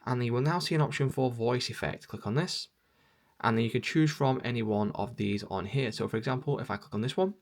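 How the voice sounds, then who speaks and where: A man's voice: medium pitch, quick pace. A man presenting a step-by-step app tutorial in plain instructional narration.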